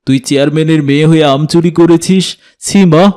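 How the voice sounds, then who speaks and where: A man's voice: a little high, normal speed, darkish tone, natural voice. A man playing a proud village chairman in a cartoon, a stern father scolding with indignation. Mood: shocked, angry.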